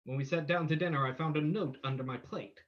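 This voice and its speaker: A man playing a composed, shrewd gentleman detective with a dry, decisive manner in an old-time radio play. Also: fairly high pitch, fast, highs cut off, natural voice.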